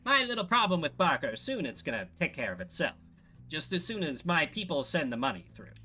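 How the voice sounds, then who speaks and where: Guy doing a cocky shifty game scamp, chummy salesman patter, bright n swoopy. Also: on the high side, very animated, fairly quick, a little hiss or hum. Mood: cocky reassurance. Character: Ruki, shifty fast-talking male scamp, cocky and evasive. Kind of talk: video game character voice.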